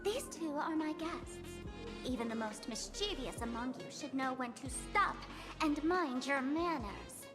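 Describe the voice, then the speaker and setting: High-pitched mischievous tavern girl. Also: animated, measured pace. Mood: commanding, playful. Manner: playful, teasing, self-assured. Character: mischievous, commanding young girl hostess of a jesters' tavern. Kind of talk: video game voice acting. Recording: loud game music behind.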